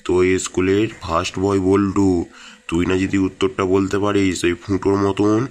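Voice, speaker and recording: Give the voice of a strict village schoolteacher in a comedy cartoon, acted by a man. He is hot-tempered and coarse, blustering in a lowish male voice. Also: level tone, normal speed, darkish tone, lightly acted. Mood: bossy. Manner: scolding, blustering, coarse-tongued. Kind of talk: comic cartoon dialogue in Bengali village school skit.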